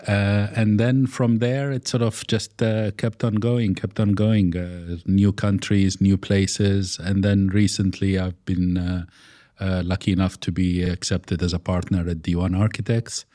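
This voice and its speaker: A man's voice: sits low, little pitch movement, measured pace, warm tone, no room sound, studio quality. A middle-aged man from Iraq, an architect guest in an interview, speaking with frequent fillers and restarts. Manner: hesitant, many fillers and restarts. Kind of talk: conversational interview.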